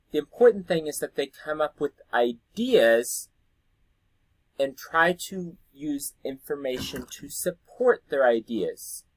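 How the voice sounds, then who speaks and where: A man speaks in a medium-pitched voice with very little room sound. He explains things like an educator talking to fellow teachers. His delivery is informal and instructional, as if thinking the points through aloud. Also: no echo, poor audio.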